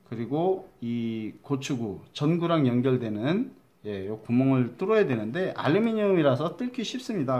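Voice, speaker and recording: Middle-aged man, Chungcheong accent, fairly high voice, chatty crafts teacher walking through a build. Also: darkish tone. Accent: Chungcheong Korean. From Chungcheong, Korea. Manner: chatty, repeats key words, drops to a mutter while measuring. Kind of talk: step-by-step craft demonstration.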